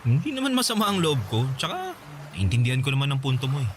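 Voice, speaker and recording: A man playing an easygoing, devoted college boyfriend in an audio love-story drama, casual, tender and gently teasing. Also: mid-range voice, huge pitch swings, quick pace. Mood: sincere. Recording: street ambience with vehicle noise behind.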